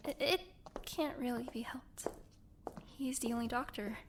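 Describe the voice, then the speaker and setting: A woman playing a practical young companion who talks briskly and encouragingly. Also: a little high, noisy background, natural voice.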